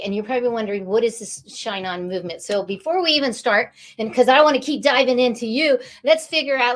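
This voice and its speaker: Gushy lady host on a video call, high voice, super enthusiastic, says stuff twice. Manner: gushing, enthusiastic, repeats phrases. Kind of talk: upbeat online interview chat.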